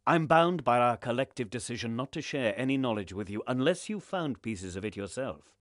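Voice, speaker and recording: An actor playing a solemn, commanding lord in a fantasy game, speaking in formal, measured courtly phrases. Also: male voice, mid-range voice, animated, dry recording, studio quality, close to an everyday voice. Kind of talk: fantasy game cutscene acting.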